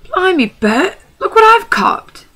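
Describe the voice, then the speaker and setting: A woman voicing a big, dim, beer-filled male troll with a loud, blustering manner in a storybook reading. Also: extremely high pitch, big pitch swings, slow pace, pitched above the performer's own voice, full character voice. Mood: surprised.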